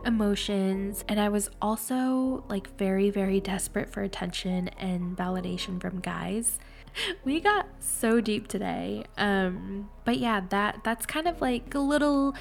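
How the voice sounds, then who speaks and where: A woman's voice: mid-range voice, a little slow, creaky, soft background music. A young adult woman with a nasal, congested voice hosting a casual, confessional solo podcast, speaking candidly and informally. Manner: candid, chatty, informal with swearing. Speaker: podcast host.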